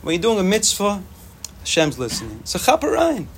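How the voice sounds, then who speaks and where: A young man whose first language is Yiddish, giving an informal, animated Torah talk to students and repeating phrases for emphasis. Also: high voice, a little fast, slight background noise. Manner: animated, repetitive, sprinkles Hebrew and Yiddish terms.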